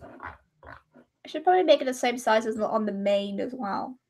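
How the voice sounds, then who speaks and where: A woman's voice: on the high side, expressive, a little slow, darkish tone. A young woman chatting casually while drawing on a livestream, rambling and correcting herself, her voice a little strained. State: voice gone high and strained.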